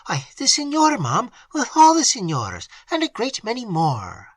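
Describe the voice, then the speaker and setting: A reader voicing Annette, a frightened young maid who talks in a high, urgent, breathless way. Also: huge pitch swings, a little slow, no room sound. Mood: panicked.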